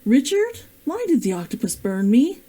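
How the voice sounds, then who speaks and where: A woman's voice: a little high, very animated, normal speed, mellow, breathy edge. A woman voicing a bold, yearning young heroine in a fantasy romance storybook, forthright and questioning.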